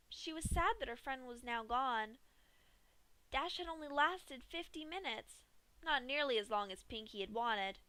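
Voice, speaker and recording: A woman reads a horror story aloud at a steady, measured pace. Her voice sits fairly high with a bright, clear edge. The recording is dry and close. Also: no room sound, low-quality recording. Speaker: fanfic reader.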